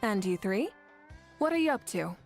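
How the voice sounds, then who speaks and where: Calm confident leader. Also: female voice, medium pitch, moderate pace, creaky, soft background music. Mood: friendly.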